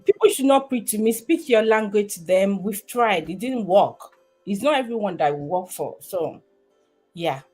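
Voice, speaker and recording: A woman's voice: mid-range voice, animated, normal speed, darkish tone, husky, soft background music. A woman in her thirties from Nigeria with a Nigerian accent, chatting casually on a livestream and rambling with many fillers and restarts. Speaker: livestream host.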